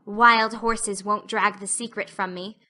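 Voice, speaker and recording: A woman's voice: mid-range voice, steady tone, a little slow, sharp tone. A woman voicing an earnest, imaginative young girl who talks in a plaintive, solemn, wordy way in a dramatised storybook reading. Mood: solemn, resigned. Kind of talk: dramatised audiobook acting.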